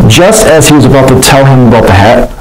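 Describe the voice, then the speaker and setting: A man in his thirties hosting a storytime, reading a children's story with deadpan, tongue-in-cheek asides, on a lapel mic in a small bathroom. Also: medium pitch, fast talker, poor audio. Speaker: storytime host. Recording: noticeable background noise.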